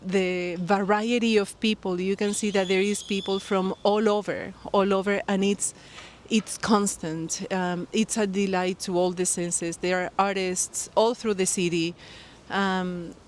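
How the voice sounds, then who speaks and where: A knitting podcast host from Colombia with a Colombian accent, chatting casually to the camera outdoors by a pool, with friendly rambling talk full of fillers and restarts. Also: female voice, mid-range voice, fairly even intonation, measured pace, a little hiss or hum. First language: Spanish. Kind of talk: casual vlog chat to camera. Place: outdoors by a pool near the beach. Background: birds and people nearby.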